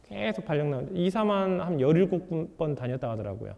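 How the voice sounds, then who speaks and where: A man giving an author's lecture to an audience, putting rhetorical questions to the room in a lively way. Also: a little high, a little fast, gravelly, lo-fi sound. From South Korea. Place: lecture hall. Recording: reverberant lecture hall.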